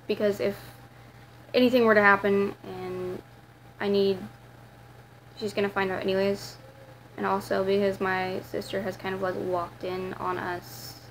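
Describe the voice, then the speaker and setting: Raspy teenage girl vlogging. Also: medium pitch, a little hiss or hum, poor audio. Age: teens. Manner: rambling, many fillers and restarts. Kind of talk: casual confessional vlog.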